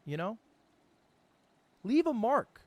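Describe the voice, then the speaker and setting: High-voiced, lively man coaching streamers. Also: takes it slowly. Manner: emphatic, swears freely, coaching tone. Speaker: streamer giving advice. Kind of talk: motivational advice, casual.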